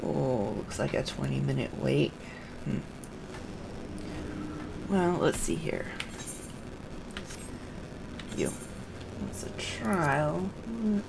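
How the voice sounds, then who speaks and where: Creaky-voiced woman streaming a game. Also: low-pitched, expressive, slow, long pauses, background noise. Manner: thinks aloud in short fragments. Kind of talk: live game stream, reading quest dialogue aloud and muttering casually.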